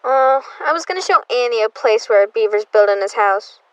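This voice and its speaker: A woman's voice: fairly high pitch, lively intonation, fairly quick, clear, resonant tone, dry recording, natural voice, poor audio. A young woman playing an earnest child, a loyal playmate, in 1930s radio serial style. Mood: apologetic. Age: teenager or young adult.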